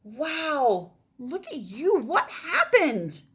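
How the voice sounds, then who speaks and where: A woman voicing an excitable, worried young child from a storybook. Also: high voice, extremely slow, voice pushed up, heavily acted. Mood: excited, worried. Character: Maggie's excitable, worried young friends Paco and Katie.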